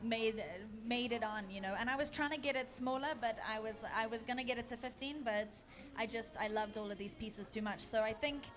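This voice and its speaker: A woman's voice: fairly high pitch, steady tone, brisk. A woman from Zimbabwe, a singer being interviewed on radio, talking earnestly with many ums and restarts. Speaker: singer guest. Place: radio studio. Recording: radio studio with music behind.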